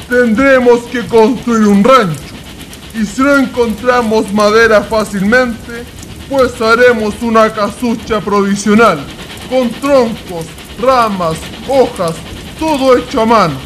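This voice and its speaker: A man's voice: very high voice, slow, fairly bright tone, slight background noise, heavily acted. A Chilean man acting an earnest young intellectual adventurer in a radio drama. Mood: earnest, resolved.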